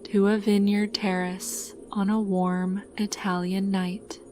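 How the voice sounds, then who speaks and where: A woman narrating a scripted guided sleep meditation in a calm, soothing, unhurried manner. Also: medium pitch, slight background noise.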